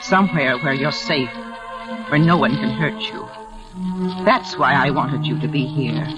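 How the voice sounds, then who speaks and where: A woman's voice: very deep voice, measured pace, dull audio. A woman playing a solemn, mysterious older cottage keeper, grave and knowing, in 1940s radio drama style. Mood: grave, consoling. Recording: vintage radio broadcast, music behind.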